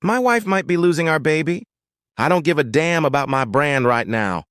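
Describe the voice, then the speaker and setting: A man's voice: a little high, animated, moderate pace, fairly bright tone, clean tone, no echo, clean studio sound, voice pushed up. A man voicing an anxious young male painter who speaks urgently, his voice strained. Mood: angry.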